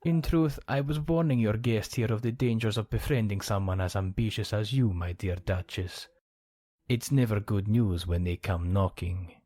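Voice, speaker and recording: A man's voice: fairly low pitch, moderate pace, warm tone, dry recording, close to an everyday voice. A man playing a suave, gossipy, scheming count in an ASMR roleplay, speaking in a genteel, theatrical manner. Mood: courtly, knowing. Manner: genteel, theatrical, arch phrasing.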